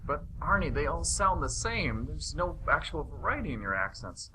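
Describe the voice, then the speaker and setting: A man's voice: on the high side, sing-song, normal speed, sharp tone, voice pushed down, full character voice, poor audio. A man voicing a weary, blunt school director who apologetically breaks bad news. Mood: regretful.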